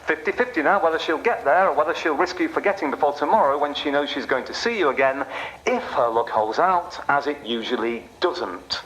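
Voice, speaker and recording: A man's voice: fairly high pitch, animated, fairly quick, fairly bright tone, rough recording. An actor playing a sardonic male train announcer who needles passengers with mocking, conversational patter in a radio play. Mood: wry.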